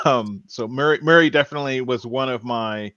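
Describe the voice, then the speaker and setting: Halting male podcast host, dry muffled sound. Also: mid-range voice, a bit bright, dry recording. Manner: halting, repeats words as he thinks. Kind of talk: casual TV show discussion.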